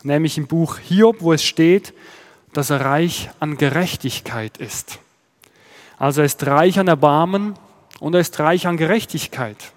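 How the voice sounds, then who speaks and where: A male pastor with a Swiss German accent gives a sermon in a steady, explaining manner, asking his listeners rhetorical questions. His voice sits a little higher than most men's. The sound carries a long reverb, as in a church hall. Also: a little slow. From Switzerland.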